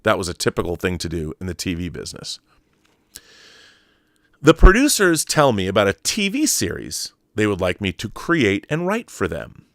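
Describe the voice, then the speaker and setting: A man's voice: fairly low pitch, huge pitch swings, moderate pace, no echo, studio quality. A man hosting an online show, reading an article aloud in an emphatic storytelling way and adding explanatory asides.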